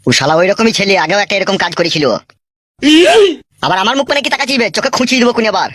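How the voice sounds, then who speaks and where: A woman's voice: mid-range voice, animated, rapid-fire, fairly bright tone, no room sound. A woman voicing a cheeky, bullying male henchman in a comic cartoon, taunting and crude, with a rural West Bengal accent.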